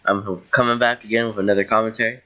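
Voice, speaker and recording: A man's voice: on the low side, flat delivery, fast, bright, forceful tone, lo-fi sound, a little hiss or hum. A young man getting sick, ranting in casual voice-over commentary over gameplay, rambling with many fillers and restarts. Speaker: gaming channel host.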